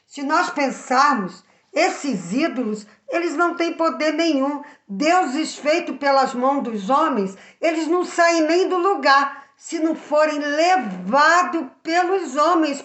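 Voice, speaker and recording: A woman giving a Bible lesson to children, speaking slowly and earnestly and putting questions to the listener. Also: high-pitched, a bit bright, reverberant. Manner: earnest, slow and emphatic, asks the listener questions.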